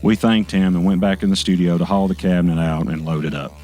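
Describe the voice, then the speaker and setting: An actor playing a low-key narrator, giving measured, matter-of-fact first-person narration in an audio drama. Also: male voice, fairly even intonation, over music, lightly acted. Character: low-key male narrator recounting his mysterious misfortunes.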